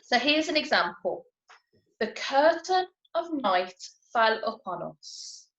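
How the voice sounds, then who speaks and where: Lively higher-pitched woman tutor, echoey. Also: takes it slowly. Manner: clear step-by-step explaining, prompting for answers. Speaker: tutor leading an online literacy lesson. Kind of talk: explanatory teaching with examples and questions. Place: indoors, on a video call. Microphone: video call. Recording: dull, echoey video-call audio.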